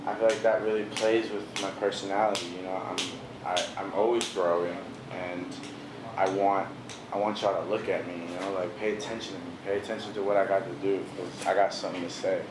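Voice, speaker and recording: Laid-back low-voiced young man, echoey room. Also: level tone, fairly quick. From Washington DC area. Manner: laid-back, heavy on "bro" and "you know" fillers. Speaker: visual artist, interview guest. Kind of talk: casual interview chat. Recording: echoey room with noticeable background noise.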